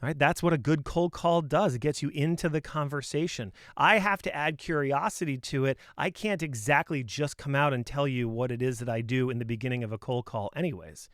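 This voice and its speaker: A man's voice: mid-range voice, a little fast, few pauses, a bit bright, no room sound, studio quality. A man coaching on sales in an informal, opinionated talk, speaking emphatically and conversationally with punchy rhetorical questions.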